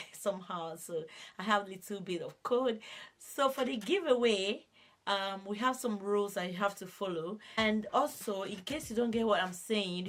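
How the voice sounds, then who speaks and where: A woman's voice: lowish voice, a little hiss or hum. A woman with a voice cracked from a cold, explaining a giveaway in a casual, hesitant way with many fillers. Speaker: beauty vlogger, host.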